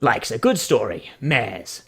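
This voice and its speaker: A man putting on a solemn, measured narrator for a mock-dramatic storybook reading of a pony character profile. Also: mid-range voice, sing-song, fairly bright tone, husky, voice pushed down, exaggerated voice.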